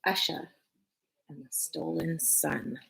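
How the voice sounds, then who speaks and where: Low, husky woman reading to children. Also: pushed and bright, poor audio. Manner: addresses young listeners, pausing between short phrases. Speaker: teacher reading a picture book aloud. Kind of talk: expressive children's read-aloud with casual asides.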